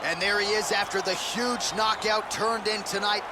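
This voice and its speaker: High-voiced fight commentator over crowd roar. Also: male voice, steady tone, moderate pace. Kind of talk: sports commentary in a fighting video game. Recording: loud arena crowd noise behind. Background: roaring arena crowd.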